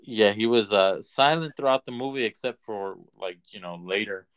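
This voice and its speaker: A man co-hosting a podcast, giving firm opinions in casual, halting talk as he thinks aloud. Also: on the low side, fairly bright tone, clear, resonant tone. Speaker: podcast co-host.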